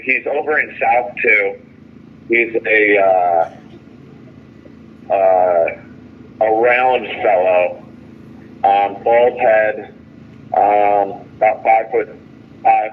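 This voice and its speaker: A man's voice: on the low side, slight background noise. Indignant man on a tinny phone line. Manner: halting, repetitive, with stumbling restarts; indignant, emphatic storytelling with quoted dialogue. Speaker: detainee calling in from jail. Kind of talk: unscripted grievance monologue over the phone. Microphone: telephone.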